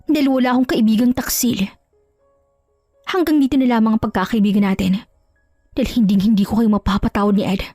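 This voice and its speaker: A woman's voice: fairly high pitch, steady tone, fairly quick, pauses often, dark tone, no echo, studio quality, soft background music, natural voice. A woman voicing a proud, betrayed wife in an audio drama, taunting, sarcastic and coldly vengeful. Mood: cold contempt. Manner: taunting, sarcastic, mixes in English.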